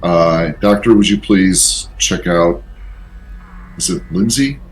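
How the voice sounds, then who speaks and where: A man's voice: deep voice, slow pace, soft background music. A man playing a tabletop role-playing game, speaking in character as a squad leader.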